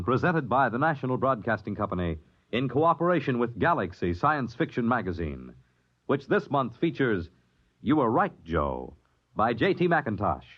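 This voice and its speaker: A man's voice: mid-range voice, slightly rough, muffled recording. A man announcing formally from a script in a declamatory 1950s radio style, on an old broadcast recording. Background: music.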